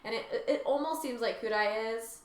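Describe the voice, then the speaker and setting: A woman's voice: on the high side, a little fast. Woman co-host chatting in an echoey room. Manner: informal, tentative, loosely phrased. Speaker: podcast co-host. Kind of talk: casual chat.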